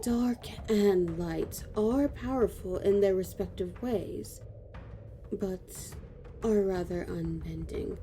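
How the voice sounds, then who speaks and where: A woman's voice: mid-range voice, dark tone, faint music behind, natural voice. A woman playing a grave elven noblewoman who recounts ancient history somberly in a fantasy audio drama. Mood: somber, measured. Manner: measured, somber. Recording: clear background noise and ambience.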